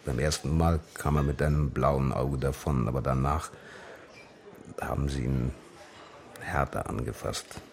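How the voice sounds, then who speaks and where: A decisive, courteous middle-aged man in a radio play. His voice is exceptionally low with a heavy gravelly creak. He talks in a measured, serious way, always polite and controlled. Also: fairly even intonation, exaggerated voice. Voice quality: gravelly, very deep. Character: grey-haired, decisive, courteous male acquaintance bearing grave news. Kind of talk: radio drama dialogue.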